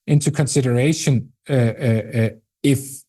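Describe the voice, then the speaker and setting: A middle-aged Danish man speaking English with a Danish accent, a company CEO answering interview questions in a halting way, repeating words and filling pauses. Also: medium pitch, dark tone. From Denmark. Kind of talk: interview conversation.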